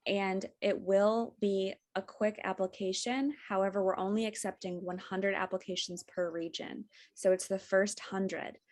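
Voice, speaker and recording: A woman's voice: mid-range voice, fairly even intonation, a little slow, hardly any pauses, darkish tone. A woman program representative informally explaining practical details in an orderly way on an online call. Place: online group video call.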